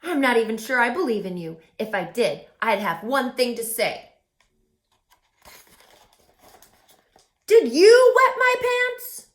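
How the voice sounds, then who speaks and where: A woman's voice: on the high side, big pitch swings, normal speed. A woman voicing an indignant male bear who accuses his friends in a huffy, dramatic way. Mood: accusing. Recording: roomy echo.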